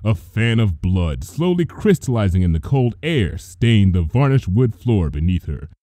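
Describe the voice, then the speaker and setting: A man's voice: lowish voice, very animated, measured pace, dark tone, dry recording. A man reading a story aloud as a theatrical, intense narrator. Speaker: fan fiction reader. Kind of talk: dramatic scripted story narration.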